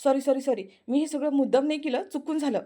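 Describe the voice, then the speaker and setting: A woman's voice: sits high, fast, dark tone, pitched above the performer's own voice, natural voice. A woman voicing a brash, hot-tempered young man who quarrels defiantly. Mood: apologetic.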